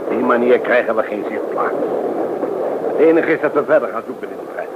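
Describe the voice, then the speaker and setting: An actor playing a pompous, insistent titled gentleman passenger, imperious and condescending, in a Dutch radio play. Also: mid-range voice, animated, brisk, natural voice. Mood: indignant. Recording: noisy, tinny old radio recording.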